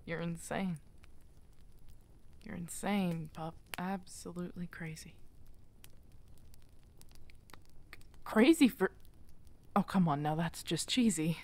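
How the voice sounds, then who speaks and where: Woman acting a wounded werewolf alpha lady, asmr roleplay, lowish voice, really slow, halting and breathy, tender. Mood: tender, moved. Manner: halting, emotional, often dropping into breathy half-whispers. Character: wounded, guilt-ridden exiled female werewolf alpha, tender and protective. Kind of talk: intimate ASMR roleplay audio drama.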